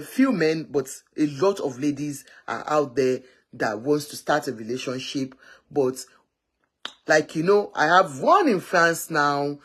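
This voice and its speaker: A woman hosting a casual live matchmaking stream, rambling and often correcting herself. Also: low voice.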